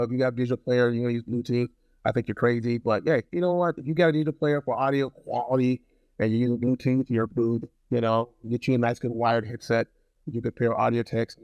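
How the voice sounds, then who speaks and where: Male product reviewer, gravelly edge, dry sound, chatty and informal, repeats himself for emphasis. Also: mid-range voice, flat delivery, quick pace, a little mellow, dry recording, dull audio. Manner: conversational, repeats phrases for emphasis. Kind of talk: casual hands-on review to camera.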